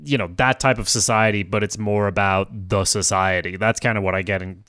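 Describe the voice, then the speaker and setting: A man's voice: on the low side, brisk, clear, resonant tone, dry recording, studio quality. A man whose first language is Swedish, musing through a casual story brainstorm with many fillers. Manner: relaxed, musing, hesitant with fillers. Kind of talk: casual creative brainstorming chat.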